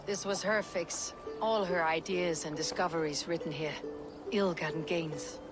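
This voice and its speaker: An actress playing a battle-hardened Viking warrior woman in a video game, speaking in terse, measured, poetic phrases. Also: on the low side, steady tone, music in the background, natural voice. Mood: grim, matter-of-fact. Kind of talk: dramatic video game dialogue.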